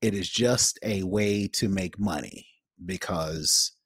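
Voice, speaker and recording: A man co-hosting a podcast, giving his opinions casually in an emphatic, halting way. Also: low voice, breathy edge, dry recording. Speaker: podcast co-host.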